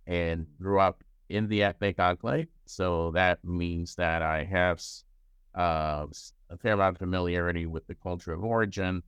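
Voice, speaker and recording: This is an older man from Cuba with a very low, deep voice. His tone is smooth and resonant, recorded dry and close with no room echo. He speaks in a halting, casual way, with frequent fillers and restarts. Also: a bit bright. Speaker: child psychiatrist, professor. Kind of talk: casual personal interview.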